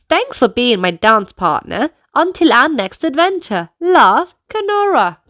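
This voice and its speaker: A woman reading a children's storybook aloud in an animated, deliberate way, speaking directly to young listeners and guiding them to move along. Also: sits high, dry recording, clean studio sound. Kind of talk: expressive read-aloud narration with movement prompts for young children.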